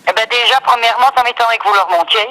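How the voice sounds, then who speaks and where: A woman's voice: on the high side, steady tone, racing, sharp tone. A woman working as a shopkeeper, chatting casually on the telephone in a matter-of-fact, dismissive way. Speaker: fishing shop keeper. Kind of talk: casual phone conversation. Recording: reverberant phone call, radio-like sound.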